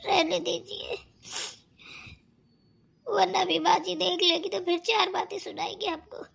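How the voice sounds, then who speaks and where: A woman voicing a put-upon young daughter-in-law in a family cartoon, plaintive, meek and quietly resentful. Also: very high-pitched, steady tone, unhurried, vocal fry, exaggerated voice. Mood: weary, resigned. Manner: plaintive, complaining, dutiful. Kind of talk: Hindi family cartoon melodrama.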